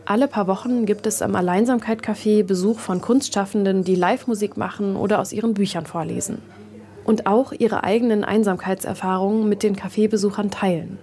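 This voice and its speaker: Woman, radio reporter, soft mellow tone, scripted reportage reading. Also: mid-range voice, steady tone, brisk.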